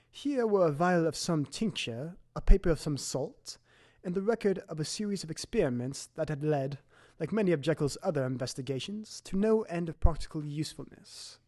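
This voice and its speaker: A man playing a sceptical, proper gentleman doctor who narrates formally and precisely in an audio drama. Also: fairly high pitch, close to an everyday voice. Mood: curious, puzzled.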